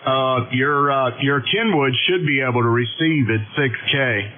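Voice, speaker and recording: A man with a Northern Irish accent and a mid-pitched voice gives a radio station report. The audio is narrow and phone-like, with clear noise. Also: unhurried. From Northern Ireland. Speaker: CB radio operator.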